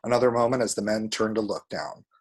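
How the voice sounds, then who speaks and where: Husky, lower-voiced man reading screenplay action. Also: fast. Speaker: table-read action narrator.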